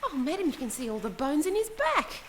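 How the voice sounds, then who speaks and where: An actress playing a chatty, deferential young maidservant in a period radio drama, with a folksy, easily flustered way of talking. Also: female voice, high voice, very animated, a little fast. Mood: giggly, gushing.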